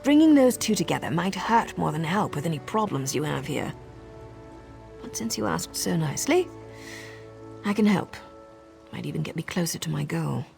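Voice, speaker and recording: Sly, low-voiced female assassin, swooping delivery. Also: sing-song, normal speed, vocal fry, pauses often, a little mellow, soft background music, full character voice. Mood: sly scheming. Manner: sardonic, playful, conspiratorial. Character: sly female assassin in servant's disguise, sardonic and teasing. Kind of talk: video game character acting.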